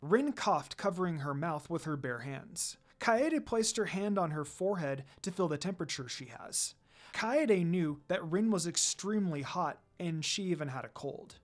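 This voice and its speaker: An amateur narrator reading a fan fiction story aloud in an expressive storytelling style. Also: mid-range voice, moderate pace.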